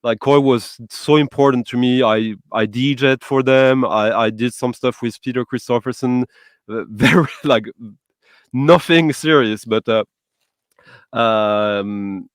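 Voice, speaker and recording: Host guy, english not his first language, smooth clear voice, dry sound, gets excited and hunts for words. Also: medium pitch, measured pace, no room sound. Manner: enthusiastic, searching for words. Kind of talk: casual music chat.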